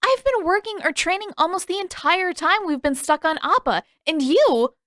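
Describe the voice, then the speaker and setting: A woman's voice: very high-pitched, lively intonation, normal speed, a bit bright, no echo, studio quality, pitched above the performer's own voice. A woman voicing a stubborn, exasperated teenage girl with an indignant, emphatic delivery in a story reading.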